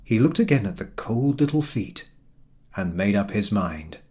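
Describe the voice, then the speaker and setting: A man reads a storybook aloud in a low, husky voice. He takes his time and lets the pitch rise and fall widely, giving the narration a dramatic, expressive shape. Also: moderate pace.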